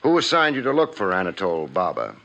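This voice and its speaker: An actor playing a wry, hard-boiled private detective with dry, punchy delivery in 1950s radio noir style. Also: male voice, low voice, huge pitch swings, fast, fairly bright tone, full character voice. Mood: wry, probing. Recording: muffled old radio recording, faint music.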